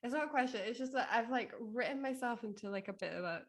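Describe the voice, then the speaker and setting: Halting woman thinking aloud in casual chat. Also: on the high side. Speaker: guest, fiction author. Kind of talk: casual chat, asking for advice.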